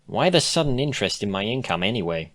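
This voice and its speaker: A man's voice: fairly low pitch, very animated, fast talker, pitched above the performer's own voice. A man voicing a defensive, laid-back female cartoon unicorn, grumbling with sardonic reluctance in a storybook reading. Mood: grudging.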